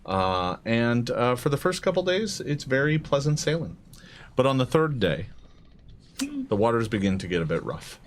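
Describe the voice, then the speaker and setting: A man's voice: mid-range voice, big pitch swings, moderate pace, slight background noise. A man narrating a live tabletop roleplaying game as game master, telling scenes in present tense.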